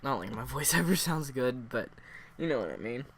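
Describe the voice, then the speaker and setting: A man with a cold, sniffling and hoarse, reviewing a story in a casual, rambling way full of fillers. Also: mid-range voice, very animated, moderate pace, a little hiss or hum. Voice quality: hoarse, worn voice.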